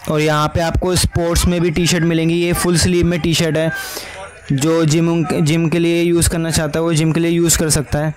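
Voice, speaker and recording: Higher-voiced man in his twenties, even delivery. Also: fairly quick. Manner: addresses viewers as friends. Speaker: vlogger. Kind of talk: casual market tour voice-over. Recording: background music under the voice. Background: music.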